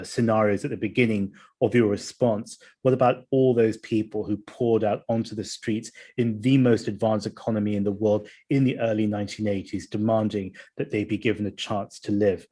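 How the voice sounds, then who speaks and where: A man moderating a panel discussion, speaking in a measured way and reading out audience questions. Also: on the low side, warm tone.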